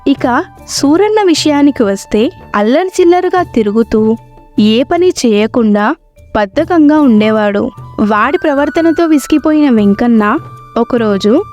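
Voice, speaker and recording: A woman narrates a scripted moral story as the storyteller. Her voice is very high, and soft music plays faintly behind her. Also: lively intonation, measured pace, dull audio. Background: faint background music.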